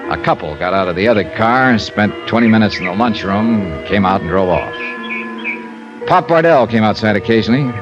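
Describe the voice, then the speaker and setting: A dull vintage radio recording with music features a hard-boiled American detective. His lowish voice moves with lively inflection. He sounds shrewd and breezy, in classic radio drama style. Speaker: lead actor and narrator. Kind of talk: 1950s-style radio detective narration and acting.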